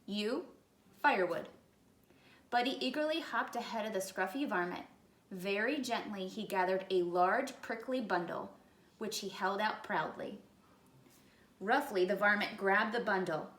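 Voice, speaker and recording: A woman reads a children's picture book aloud in an expressive storytelling style. Her medium-pitched voice carries a clear room echo. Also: moderate pace. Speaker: picture-book reader, educator. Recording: echoey room.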